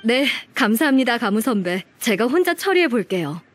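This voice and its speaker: An actress playing an earnest, polite young junior clerk in a video game. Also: female voice, fairly high pitch, sing-song, moderate pace. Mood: grateful, earnest. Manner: polite, deferential.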